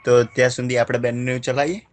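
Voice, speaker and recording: A man's voice: mid-range voice, level tone, a little fast. Male prank caller, Gujarati accent, mid-range voice, insistent and colloquial. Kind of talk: improvised prank phone call.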